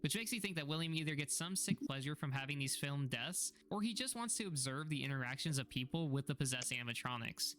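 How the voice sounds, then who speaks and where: A man's voice: on the high side, expressive, fast talker, hardly any pauses, fairly bright tone. A man giving a scripted narration that recaps horror videos.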